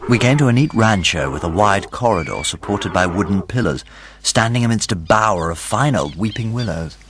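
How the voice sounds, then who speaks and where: A man's voice: on the low side, animated, brisk, a bit bright, a little hiss or hum. An actor playing a wry young English adventurer who narrates his travels in a radio drama, dry and rueful. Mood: scene-setting. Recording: music behind the voice.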